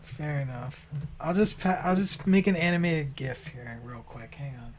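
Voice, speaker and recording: A man's voice: on the high side, lively intonation, normal speed. A man in his early thirties chatting casually while gaming, slangy and playfully teasing. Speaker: racer and streamer in a community race. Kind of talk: casual gamer chat.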